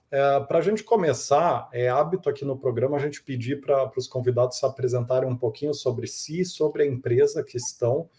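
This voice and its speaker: A man's voice: medium pitch, mellow. A man with a Brazilian accent hosting a podcast interview in a polite, enthusiastic and wordy way.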